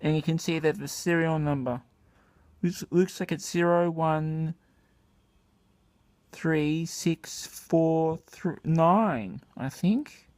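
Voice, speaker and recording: A man's voice: a little high, slow, a little mellow. Australian man, hesitant and detail-focused. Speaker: vintage radio hobbyist, video maker. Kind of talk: casual unscripted show-and-tell demonstration. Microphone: handheld camera or phone microphone.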